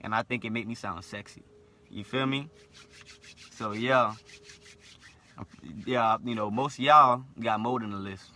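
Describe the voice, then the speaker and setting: A man's voice: mid-range voice, a little slow, pauses often, sharp tone. A young man with a lisp, delivering a blunt, opinionated monologue to camera and tagging "right?" onto nearly every point. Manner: blunt, repetitive, asks "right?" after nearly every line. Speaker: vlogger.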